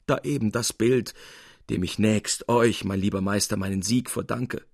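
A man's voice: lowish voice, fairly even intonation, normal speed, vocal fry, husky, dry recording, clean studio sound. A man voicing a lovesick young painter, plaintive and full of grief, in a literary reading. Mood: despairing. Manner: plaintive, grieving.

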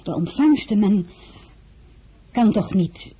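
A woman's voice: mid-range voice, expressive, takes it slowly, warm tone, breathy edge, heavily acted. An actress playing a reserved noblewoman in a radio play, speaking hesitantly and politely. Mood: cautious. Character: reserved noblewoman addressed as madame.

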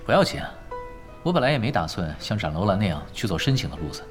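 An actor playing a calm, confident young male pro gamer in an audio drama, unhurried and explanatory with light teasing. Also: lowish voice. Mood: calm explaining. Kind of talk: Chinese audio drama acting. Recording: studio voice over clear background music.

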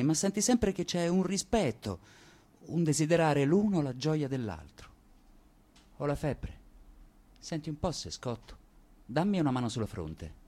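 A man's voice: medium pitch, a little mellow. An actor playing a yearning, sensual man confiding in his male friend, in a lyrical, intense radio play manner. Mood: tender, needy. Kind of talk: theatrical radio play acting.

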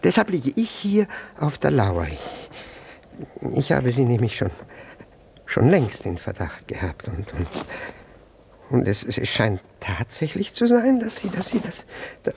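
A man's voice: medium pitch, wildly swooping pitch, unhurried, creaky, a little mellow, slight background noise, exaggerated voice. A male actor in a German radio play, playing a flustered, jealous, nervously polite middle-aged husband who stammers and repeats himself. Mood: flustered, hesitant. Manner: stammering, hesitant, repeats words, fussily polite.

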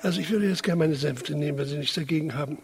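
Actor as a higher-voiced self-important gentleman. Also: quick pace, lightly acted. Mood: aloof. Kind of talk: radio drama acting.

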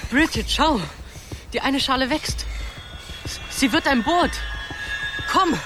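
A man's voice: very high voice, slow pace, music in the background. A young man playing a brave, protective boy in a radio play, urgent and earnest.

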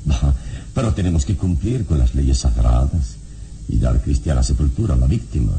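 An actor playing a calm, resolute, commanding adventurer hero in a vintage radio serial, speaking solemnly and deliberately. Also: male voice, very deep voice, a little hiss or hum, poor audio. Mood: firm, composed.

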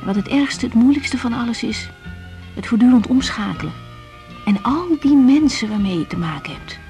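An actress playing an earnest, weary young doctor in a radio drama, speaking in short reflective phrases. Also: female voice, on the high side, lively intonation, moderate pace, dark tone, faint music behind. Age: young adult. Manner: reflective, intimate, clipped phrases. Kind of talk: radio drama acting with diary-like monologue.

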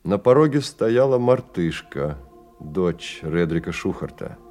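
A man narrating a radio drama in measured, deliberate phrases with pauses. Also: sits low, animated, darkish tone. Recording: radio play with music behind.

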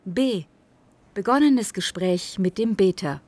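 A woman announces headings in a radio play. Her scripted delivery is slow, in a mid-pitched voice. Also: a little mellow.

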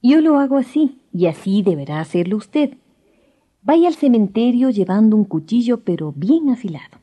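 An actress playing a knowing neighbour in a radio drama, explaining recipes in a husky, dark, sing-song voice. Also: female voice, fairly high pitch, sing-song, moderate pace. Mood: sly, instructing. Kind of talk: 1990s radio drama acting.

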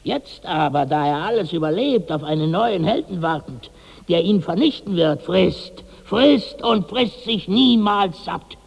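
An actor playing an exasperated hired musician, frantic and declamatory, in 1960s radio drama style. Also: male voice, sits high, lively intonation, a little slow, vocal fry, slightly rough, full character voice. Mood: denouncing, fierce. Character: exasperated hired male musician, ranting and fed up. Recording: reverberant 1960s radio studio recording.

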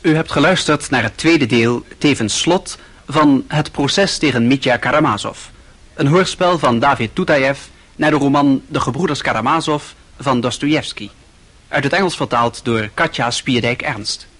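A man speaking as a radio announcer, reading scripted credits in a formal, measured way. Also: medium pitch, a bit bright.

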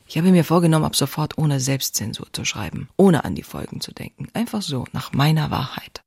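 Husky, gravelly woman diarist. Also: low voice, big pitch swings, a little fast, a little mellow, heavily acted. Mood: defiant, wry. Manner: wry, sardonic, intimate. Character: outspoken, passionate woman writer confiding in her diary. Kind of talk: dramatized diary reading, scripted narration.

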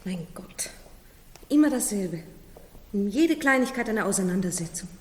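An actress playing a doubtful, easily exasperated young daughter in a German radio play. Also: female voice, medium pitch, lively intonation, moderate pace, close to an everyday voice. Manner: curt, doubtful. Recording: reverberant hall.

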